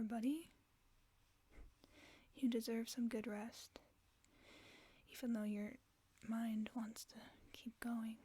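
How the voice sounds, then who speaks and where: A woman voicing a gentle, protective werewolf friend in a whispered sleep-aid audio roleplay, speaking in a soft, soothing whisper close to the microphone. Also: a little high, husky.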